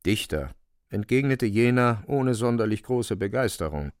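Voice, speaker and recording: A man's voice: lowish voice, expressive, normal speed, a little mellow, no room sound, studio quality. A man narrating a radio drama in a scripted, literary storytelling style.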